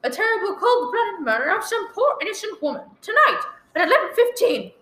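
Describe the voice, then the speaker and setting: A woman's voice: very high voice, sing-song, a little fast, sharp tone, full character voice. A woman playing an anxious, imperious, bedridden wife in a radio thriller, stammering and easily exasperated. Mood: urgent. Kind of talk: old radio thriller acting. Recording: roomy echo, live one-take recording.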